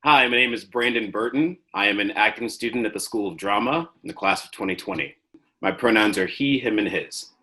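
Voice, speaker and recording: Low, bright-voiced young man. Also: brisk. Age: young adult. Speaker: acting student. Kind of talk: reflective interview answer.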